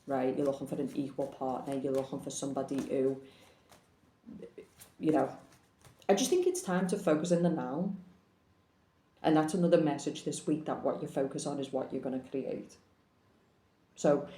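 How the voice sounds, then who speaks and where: Frank, plain-spoken female tarot reader, mellow tone, roomy recording. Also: very deep voice, slight background noise. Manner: blunt, frank, swears casually. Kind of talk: casual tarot reading to camera.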